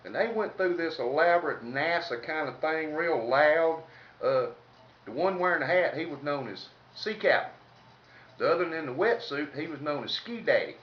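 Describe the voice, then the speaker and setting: A Southern American man tells funny stories in a colloquial, country manner. His voice sits slightly high. The recording is distant and somewhat dull, with a faint noise under it. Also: lively intonation, normal speed, reverberant. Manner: folksy, colloquial, salty. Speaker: comedic storyteller. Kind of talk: informal humorous storytelling.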